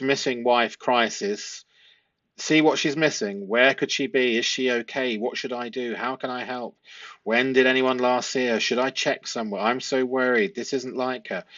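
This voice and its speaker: A man with a mid-pitched voice presents analytical commentary to his viewers. He is deliberate and emphatic, turning points into rhetorical questions. The recording is somewhat dull and lo-fi. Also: a bit bright. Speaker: host analysing a police interview.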